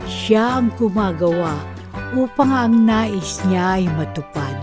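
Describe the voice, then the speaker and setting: An actress playing a solemn, devout female narrator, giving measured scripted narration in a radio drama. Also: medium pitch, expressive, loud music behind the voice.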